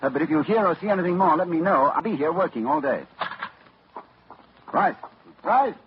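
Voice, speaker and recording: A man's voice: on the high side, expressive, moderate pace, natural voice. A man playing a grave, driven doctor who narrates his ordeal urgently in a 1940s radio drama. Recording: muffled old radio broadcast, hiss.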